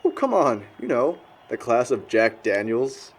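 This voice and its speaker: A man's voice: medium pitch, big pitch swings, measured pace, a little hiss or hum. Man acting a crude, mocking young American guy. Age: young adult. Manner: blunt, slangy, teasing. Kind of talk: conversational audio drama acting.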